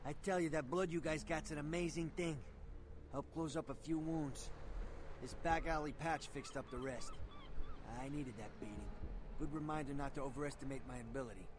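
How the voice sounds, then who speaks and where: A streetwise arms dealer from a video game, played by a man, cocky and slangy in a tough-guy way. His voice sits a little high, with clear noise behind it. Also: steady tone, brisk, natural voice. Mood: rueful, confident.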